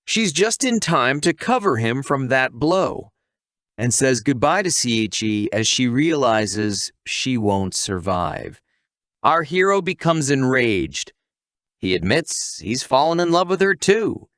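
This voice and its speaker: A man's voice: medium pitch, very animated, slow pace, a bit bright, no room sound, clean studio sound. A man narrating a scripted comic story recap in a conversational, wisecracking way, with asides to the listener.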